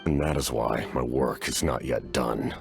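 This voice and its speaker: An actor playing a stoic, war-weary knight-commander in a fantasy game, speaking formally and gravely. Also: male voice, extremely low pitch, steady tone, unhurried, over loud music, full character voice. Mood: guarded.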